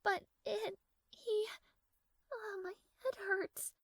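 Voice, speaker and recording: Woman acting a high-voiced, proud young princess. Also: steady tone, slow, no echo, heavily acted. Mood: anxious, conflicted. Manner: formal regal diction, haughty, hesitant stammering when flustered. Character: strong-willed, proud young princess, easily flustered, secretly smitten. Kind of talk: audio roleplay acting.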